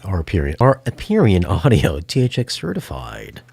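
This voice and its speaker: A man's voice: sits low, huge pitch swings, normal speed, gravelly, darkish tone, slightly rough. A man hosting a show, asking questions in a casual interview chat.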